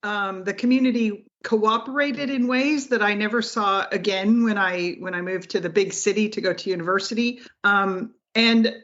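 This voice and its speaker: A woman's voice: mid-range voice, normal speed, few pauses, a bit bright, dull audio. A middle-aged American woman from Colorado, a professor talking in a casual interview, thoughtful and laughing often. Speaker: guest, engineering professor.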